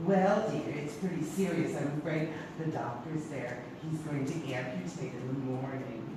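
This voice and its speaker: A woman acting in a comic stage play as a gentle, genteel old aunt with a kindly manner. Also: rumbling low, normal speed. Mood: gently grave. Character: gentle, sweet old spinster sister, kindly and matter-of-fact. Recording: distant, very echoey theatre recording with hiss.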